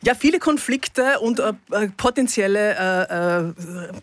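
A woman's voice: mid-range voice, lively intonation, measured pace, dry recording. Woman hosting live radio. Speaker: radio host. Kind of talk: live radio talk, informal moderation.

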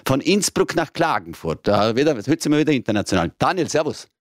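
A man's voice: mid-range voice, big pitch swings, quick pace, dry recording, clean studio sound. A man with an Austrian German accent hosting a call-in radio show, chatting casually with many filler sounds and restarts.

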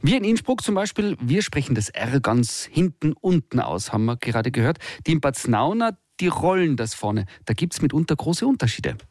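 A man, hosting a radio show and introducing a guest in a smooth announcer manner. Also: fairly high pitch, big pitch swings, moderate pace, few pauses, a little mellow, no echo, studio quality. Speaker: radio host. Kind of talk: radio presenting. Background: music.

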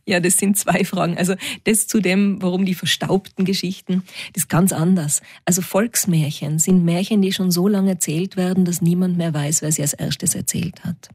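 A woman with a Tyrolean Austrian accent, a storyteller answering interview questions in a lively, reflective way. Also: lowish voice, normal speed, few pauses, husky, no room sound, clean studio sound. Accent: Tyrolean Austrian German.

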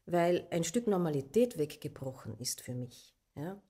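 A middle-aged woman with an Austrian German accent and Slovene as her first language tells a personal story in a reflective, hesitant way, pausing and checking in with questioning asides. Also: sits low, sing-song, warm tone, faint music behind. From southern Austria, Carinthia. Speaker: interviewee.

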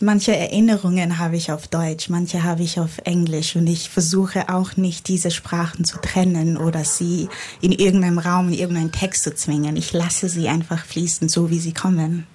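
A woman's voice: on the low side, little pitch movement, moderate pace, darkish tone, husky. A young woman, answering reflectively as a guest poet in a live interview. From born in Nigeria, grew up in Graz, Austria.

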